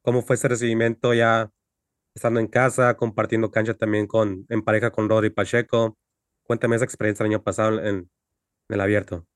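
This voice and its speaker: A man's voice: on the low side, level tone, fairly quick, no echo, studio quality. A man hosting an interview, asking polite, lightly formal questions with occasional fillers. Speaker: interviewer, host.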